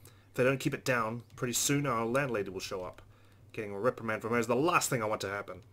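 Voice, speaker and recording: A man's voice: mid-range voice, fast talker, bright, forceful tone. A man hosting a game playthrough, reading visual novel narration aloud. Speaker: let's play host.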